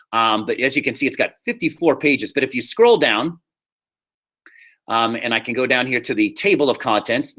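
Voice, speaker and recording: A man with a fairly high, very bright voice talks like a drama teacher walking students through an assignment. He is upbeat and informal, explains things step by step, and often checks in with the class. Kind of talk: casual instructional talk to students in an online class.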